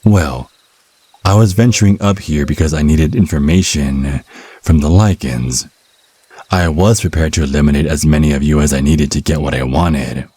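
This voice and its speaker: A man playing a cocky, sardonic male vampire hunter in an ASMR roleplay, taunting with slow, deliberate phrasing. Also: mellow. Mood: cool, threatening. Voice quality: husky, very deep. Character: cocky, taunting male vampire hunter, youthful but over a century old.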